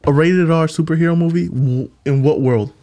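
A man co-hosting a casual movie podcast, animated and playful, often jumping in over his partner. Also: a little high, normal speed. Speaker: podcast co-host.

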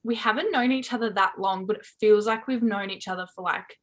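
A woman's voice: mid-range voice, fairly quick. A twenty-year-old woman from Tasmania with an Australian accent, a guest on a podcast, talking candidly with lots of "like" and casual swearing. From Hobart, Tasmania. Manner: chatty, candid, frequent "like" fillers, swears casually. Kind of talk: casual chat.

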